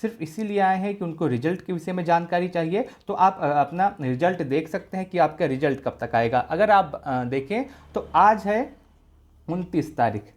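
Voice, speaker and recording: A man presents an informational explainer for exam candidates. He works through each point in order as a video host. He often restates what he has just said so that it is clear. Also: a little high, moderate pace, a little mellow.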